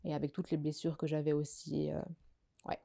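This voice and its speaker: A woman's voice: low-pitched, completely flat delivery, brisk, vocal fry, warm tone. A young woman from Belgium, a guest telling her life story in casual conversation, hesitant and full of fillers and restarts. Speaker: guest sharing her testimony.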